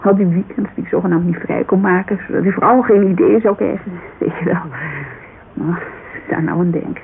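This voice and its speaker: A muffled radio play recording with steady hiss. a bereaved woman with a creaky voice. She confides in a halting, colloquial way, full of self-reproach. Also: on the low side. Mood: rueful. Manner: hesitant, colloquial, confessional. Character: grieving, self-reproachful woman confiding about her dead lover. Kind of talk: naturalistic radio drama dialogue.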